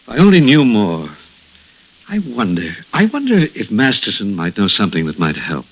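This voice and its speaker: An actor playing an earnest, worried doctor in a 1960s radio drama, pondering aloud in a deliberate way. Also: male voice, fairly low pitch, huge pitch swings, breathy edge, full character voice. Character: earnest, worried hospital doctor, brooding and thoughtful. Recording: muffled old radio recording.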